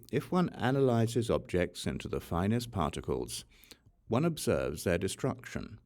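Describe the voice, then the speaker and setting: A man with a very low, gravelly voice reads a sacred text as an audiobook narrator. His delivery is measured and solemn, with deliberate pauses, yet his pitch moves expressively through the phrases. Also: a little mellow. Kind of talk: scripted reading of a Buddhist sutra.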